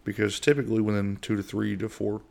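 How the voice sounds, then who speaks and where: Man, low voice, gravelly, monotone, rambling podcast host, middle-aged, from the US. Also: fast talker. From United States. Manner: rambling, with frequent fillers and restarts. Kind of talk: casual solo podcast monologue.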